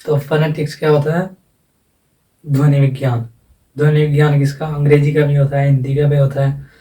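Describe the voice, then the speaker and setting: A man gives a casual, plain lesson as a voice-over, asking questions and then answering them himself. His voice is mellow and warm. He sits a little back from the mic. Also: fairly high pitch, level tone, brisk, distant mic, low-quality recording. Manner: plain, repetitive explaining with rhetorical questions. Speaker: English teacher. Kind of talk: casual explanatory lecture. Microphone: voice-over, face not shown.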